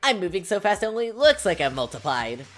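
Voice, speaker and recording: A woman's voice: medium pitch, very animated, brisk, a bit bright, soft background music, voice pushed up. A woman voicing a gleeful, taunting male bear mascot in a video game, in a sing-song, mock-cute and menacing manner. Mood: teasing. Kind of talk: voicing game dialogue on a stream.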